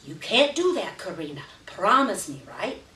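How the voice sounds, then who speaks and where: A woman's voice: medium pitch, big pitch swings, moderate pace, bright, forceful tone. A woman voicing a fierce, commanding working-class mother who threatens and gives emphatic orders in a live story reading. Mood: coaxing, stern. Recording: roomy, distant live reading with background noise.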